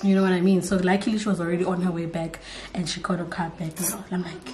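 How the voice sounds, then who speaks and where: A woman's voice: fairly low pitch, little pitch movement, quick pace, a little mellow. A young woman, chatting to the camera at home and telling a story. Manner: chatty storytelling, switching into Setswana phrases. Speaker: vlogger. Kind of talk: casual chat to camera. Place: home kitchen and living room indoors. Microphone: camera on tripod nearby.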